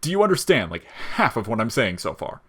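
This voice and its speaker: A man's voice: medium pitch, big pitch swings, brisk, slightly rough, pitched above the performer's own voice. A man voicing a wry, world-weary skeleton monster who talks in sardonic slang in an audio story, jolly on top and bitter underneath. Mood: casual, explaining. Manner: slangy, sardonic, explaining things casually.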